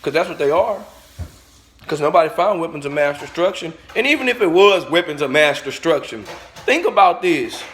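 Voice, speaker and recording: High-pitched man, vlogger, blunt profane rant to camera. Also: lively intonation, moderate pace, fairly bright tone, a little hiss or hum. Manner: blunt, profane, preachy, talks straight at the viewers. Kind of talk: ranting monologue to camera.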